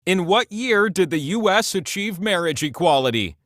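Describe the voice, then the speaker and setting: A man's voice: high voice, lively intonation, moderate pace, a bit bright, no room sound, studio quality. A man voicing a cheeky, self-amused talking wheel who hosts a trivia video game with playful, theatrical delivery and wry asides. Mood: quizzical, showy. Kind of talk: scripted comedic quiz narration.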